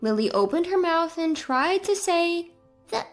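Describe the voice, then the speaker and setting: A woman's voice: very high voice, animated, unhurried, soft background music. A woman reading a scripted first-person family story as its narrator.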